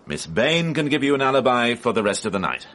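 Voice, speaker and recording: An actor playing a formal, persistent police inspector in a radio drama, speaking precisely and probingly. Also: male voice, mid-range voice, sing-song, brisk, a bit bright. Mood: accusing, measured. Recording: faintly noisy archive radio broadcast.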